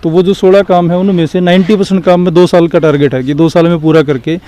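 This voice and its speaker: Earnest village head, dark tone. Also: male voice, high voice, fairly even intonation, fast talker. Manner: earnest, explains with examples, restarts phrases. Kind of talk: interview answers.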